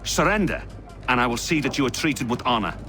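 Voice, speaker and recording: Smug, animated villain. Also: male voice, mid-range voice, fairly quick, soft background music. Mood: confident. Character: smug, menacing male pursuer boarding a ship. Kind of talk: video game cutscene acting.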